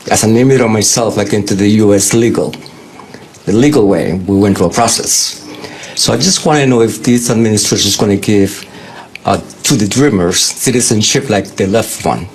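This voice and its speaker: A man's voice: lowish voice, moderate pace, rough recording. Over faint background noise, a man speaks from the audience. He talks unscripted, as an ordinary member of the public. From Colombia. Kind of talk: unscripted question and personal account.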